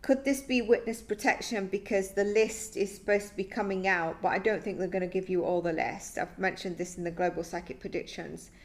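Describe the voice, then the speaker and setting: A woman's voice: medium pitch, steady tone, fairly quick, hardly any pauses. A woman giving a psychic tarot reading, thinking aloud in a tentative, musing way that often trails off.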